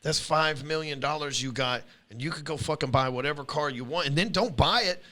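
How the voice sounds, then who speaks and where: A man's voice: on the high side, fairly quick, sharp tone. Blunt profane man in his forties. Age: 40s. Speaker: podcast host. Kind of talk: casual chat.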